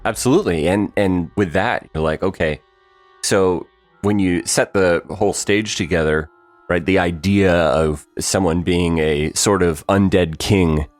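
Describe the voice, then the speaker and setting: A man's voice: deep voice, a little slow, no echo, faint music behind. A man co-hosting a podcast, musing thoughtfully about a story, with lots of fillers and easy laughs. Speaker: podcast co-host. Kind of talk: casual behind-the-scenes discussion.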